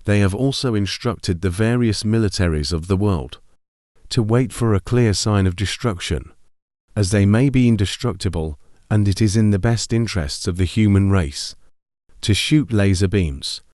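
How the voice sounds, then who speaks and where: A man playing a grave TV announcer, reading formal emergency bulletins in a measured, solemn manner. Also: lowish voice, a little mellow, no echo, studio quality, natural voice.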